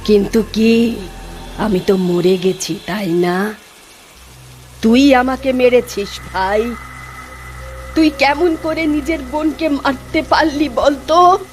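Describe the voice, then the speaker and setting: Woman acting a slow, vengeful ghost sister. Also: high voice, faint music behind. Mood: accusing, eerie. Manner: drawn-out, accusing, theatrical delivery.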